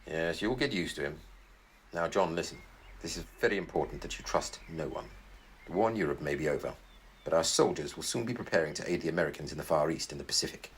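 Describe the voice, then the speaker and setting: A man's voice: extremely low pitch, lively intonation, quick pace, slight background noise. An actor playing a wry, secretive British intelligence man with clipped, jovial, old-fashioned phrasing in an audio drama. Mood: brisk, authoritative. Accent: British English.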